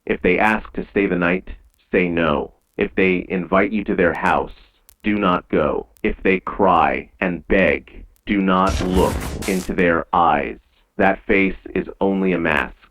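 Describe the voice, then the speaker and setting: A man playing a grave, measured emergency announcer in an eerie analog horror broadcast, with dull, lo-fi old radio sound. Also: deep voice, a bit bright, slight background noise, heavily acted. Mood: calm, foreboding. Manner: measured, solemn, ominous.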